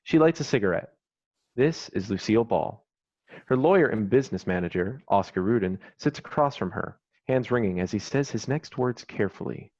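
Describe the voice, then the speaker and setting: A man's voice: on the low side, lively intonation, normal speed, a little mellow. Male screenplay narrator, slightly dull sound. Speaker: stage directions narrator. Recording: slightly dull, lo-fi online recording.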